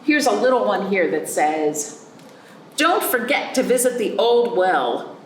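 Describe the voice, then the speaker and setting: Storybook little girl, played by a woman: wide-eyed and earnest, very lively pitch, drawn-out emotive delivery. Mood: despair, indignant. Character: young storybook girl, wonder-struck and earnest about her rainbow. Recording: roomy echo, faint background noise.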